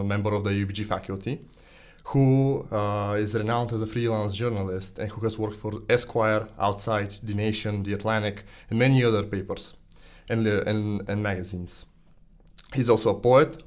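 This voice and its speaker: Young male podcast host, tentative questions. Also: fairly low pitch. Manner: polite, slightly tentative questions. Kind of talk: podcast interview.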